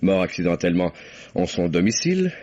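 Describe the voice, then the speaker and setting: A suave, cynical nephew in a radio mystery. His voice is low, with elaborate mocking politeness, on a muffled vintage recording. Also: sing-song, brisk, dark tone. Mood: mock-polite, amused. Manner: ironic, mock-courteous, elaborately polite. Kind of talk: old French radio mystery acting. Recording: dull vintage radio sound.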